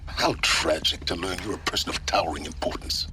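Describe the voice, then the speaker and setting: A man playing a cool, mysterious mentor in a video game, speaking in a sardonic, deliberate manner. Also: low-pitched, very noisy, lightly acted. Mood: sardonic, intrigued. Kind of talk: scripted video game voice acting.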